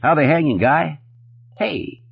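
A man's voice: a little high, expressive, measured pace, gravelly, breathy edge, no echo, muffled recording, voice pushed up, exaggerated voice. A man voicing a manic, jittery Japanese hustler who talks in chatty, clipped broken English. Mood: manic, jovial.

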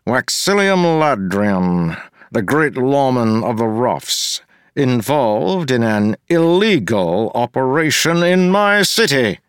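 A man voicing a smug, scheming male mayor who talks slowly and pompously, taunting his rival. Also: mid-range voice, very animated, smooth, no echo, studio quality, pitched above the performer's own voice.

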